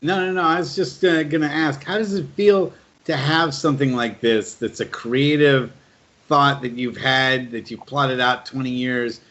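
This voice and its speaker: A man's voice: mid-range voice, steady tone, moderate pace, a bit bright. A man co-hosting a podcast, chatting casually and conversationally. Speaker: podcast co-host. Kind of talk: casual chat. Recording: dull lo-fi call audio.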